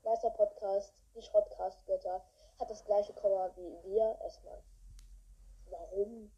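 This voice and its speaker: A woman's voice: medium pitch, fairly even intonation, unhurried, breathy edge. A woman hosting a podcast in a casual, rambling way with many fillers. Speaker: podcast host. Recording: dull lo-fi recording.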